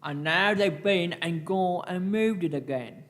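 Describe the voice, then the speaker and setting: A man's voice: high-pitched, measured pace, fairly bright tone. A man playing a dithering, aggrieved complainant in a radio play, hesitant, plaintive and repetitive. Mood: persistent. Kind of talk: live radio-play acting.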